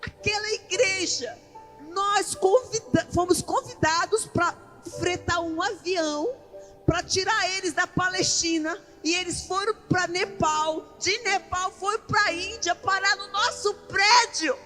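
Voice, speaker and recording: A Brazilian woman preacher with a very high, forceful voice delivers an impassioned sermon. She speaks at an unhurried pace in plain colloquial language, driving points home with repetition. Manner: emphatic, with rhetorical questions and repetition; colloquial, ungrammatical everyday speech. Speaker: pastor preaching at a women's church conference. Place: large indoor church event hall. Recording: echoey large hall PA, faint music.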